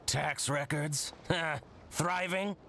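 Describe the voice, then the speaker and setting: A man's voice: on the high side, steady tone, drawn-out words, gravelly, noisy background, full character voice. A man playing a blustering, shifty shopkeeper in a video game, theatrical and eager to please, with a jealous streak. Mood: indignant, scheming.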